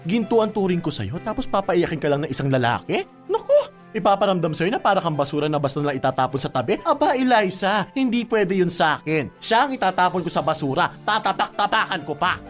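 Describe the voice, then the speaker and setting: Animated delivery, protective older sister, blunt, scolding, loving, radio drama, music underneath. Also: female voice, on the low side, fast talker. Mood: fierce. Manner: scolding, emphatic, with frequent tag questions.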